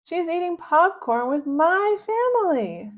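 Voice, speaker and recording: A woman voicing a headstrong young girl who talks loudly and dramatically, in a dull lo-fi recording. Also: very high voice, sing-song, unhurried, voice pushed up, heavily acted. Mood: dramatic. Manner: loud, dramatic.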